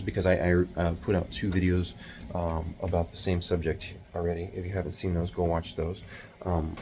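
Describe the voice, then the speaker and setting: Deep-voiced man talking low in a bathroom. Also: a little hiss or hum. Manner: rambling, many fillers and restarts; hushed, half-whispering to avoid waking others. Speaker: delivery driver vlogger. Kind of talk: casual explainer vlog. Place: small bathroom at home at night.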